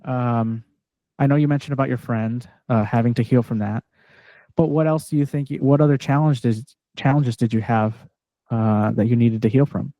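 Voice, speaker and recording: A man hosts a relaxed podcast, speaking reflectively and often restarting his sentences. His first language is Spanish, and his voice sits in a middling register. The recording is dry, with no room sound. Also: mid-range voice, moderate pace, no room sound, low-quality recording. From California, partly raised in Mexico. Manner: reflective, searching, frequent restarts. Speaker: podcast host. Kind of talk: casual podcast conversation.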